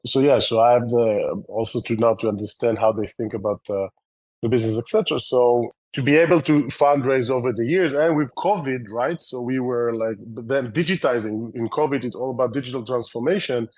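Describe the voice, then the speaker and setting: A man with an Israeli accent speaks at an ordinary middle pitch, a founder talking through his story in an interview. His answers ramble and restart, full of fillers and self-corrections. The sound is dry and close, with no room echo. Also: fairly quick, a little mellow. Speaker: company founder and CEO.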